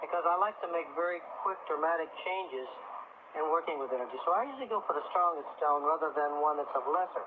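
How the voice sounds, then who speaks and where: Male expert guest for talk radio, slightly high pitch, earnest and explanatory, narrow phone-like sound. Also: fast. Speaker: studio guest, crystal expert. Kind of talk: live radio interview, explaining to a caller. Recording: noisy, phone-like old radio broadcast tape.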